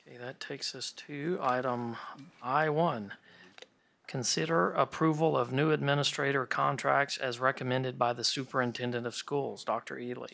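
A man as board president, moving the meeting along with brisk procedural calls and votes. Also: mid-range voice, steady tone, creaky, pushed and bright. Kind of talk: chairing a meeting. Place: large board meeting room.

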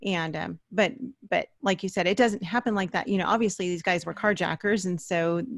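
A woman's voice: on the low side, fairly quick, creaky, no room sound. A middle-aged woman hosting an interview in an earnest, affirming, conversational way, close to a studio microphone. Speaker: interview host. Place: indoor home-style studio. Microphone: large desk microphone, close.

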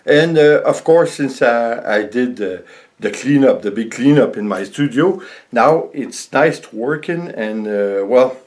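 An older man, around sixty, a native French speaker with a French accent, chatting casually to camera in a halting, rambling way as a vlogger in his home studio. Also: mid-range voice, animated, a little mellow, slight background noise. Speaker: vlogger and painter. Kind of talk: casual vlog chat to camera. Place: small home art studio indoors.

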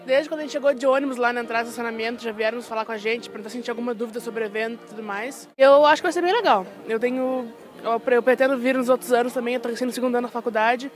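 Young female student, rapid casual interview speech, light music behind. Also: a little high, fairly even intonation. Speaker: university student. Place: outdoor campus steps. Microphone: handheld reporter mic.

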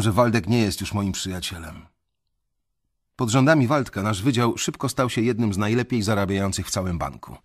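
A young male banker telling his own story in a radio play. The voice is low and husky. He narrates reflectively, in measured literary sentences. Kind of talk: scripted first-person radio drama narration.

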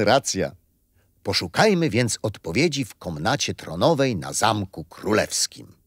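An actor playing a kindly, patient grandfather who explains history with gentle amusement in a children's radio play. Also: fairly low pitch, big pitch swings, measured pace, slightly rough, no room sound, studio quality, full character voice. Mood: warm, teaching.

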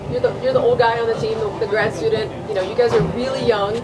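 A man's voice: very high voice, steady tone, fast, recorded from a distance, poor audio. A man asking postgame questions off camera in a rambling way full of fillers. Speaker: press interviewer.

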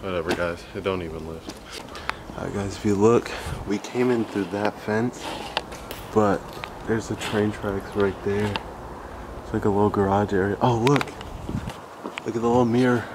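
A man's voice: on the low side, normal speed. Slangy young man vlogging in a noisy space. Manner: relaxed, slangy, joking to viewers. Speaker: urban explorer vlogger. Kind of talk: casual handheld vlog narration. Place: abandoned factory, large indoor space. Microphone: handheld camera mic.

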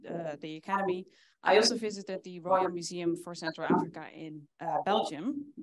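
A young woman from the Netherlands giving a conference talk in an earnest way, often pausing with fillers. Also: fairly low pitch, crowd noise. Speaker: conference speaker. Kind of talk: presentation talk. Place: conference hall with audience.

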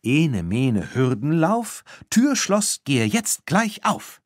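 A man's voice: on the high side, sing-song, slow pace, no echo, clean studio sound, pitched above the performer's own voice. A man voicing a bold, curious young witch girl in a children's audiobook. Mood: chanting a spell.

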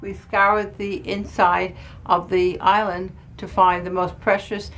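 An older woman interviewed in a small ship cabin, answering in a halting way, hunting for words and restarting. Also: mid-range voice, level tone, a bit bright, rough recording. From New York area. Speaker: interviewee, cruise passenger. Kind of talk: casual chat. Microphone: handheld camera close up.

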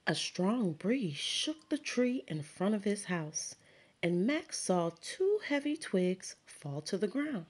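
Slow breathy woman reading a picture book. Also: medium pitch. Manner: slow, expressive storytelling with sound words and character voices. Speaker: teacher reading aloud. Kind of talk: children's picture book read-aloud.

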